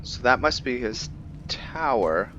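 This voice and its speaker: A man's voice: mid-range voice, big pitch swings, slow pace, bright, forceful tone, muffled recording, slight background noise. A man giving casual video game commentary as he plays, thinking aloud in a musing way. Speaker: gamer hosting a roleplay playthrough.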